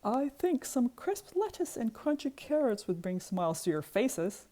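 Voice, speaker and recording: A female storybook rabbit voiced by a woman reader, raised in pitch with lively swings. She means well but is emphatic and quick to lose patience. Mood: helpful.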